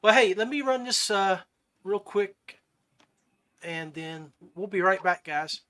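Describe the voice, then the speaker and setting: A man's voice: high-pitched, expressive, takes it slowly, bright, forceful tone. A man hosting a podcast and chatting casually with his guest. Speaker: podcast host. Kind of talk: casual chat.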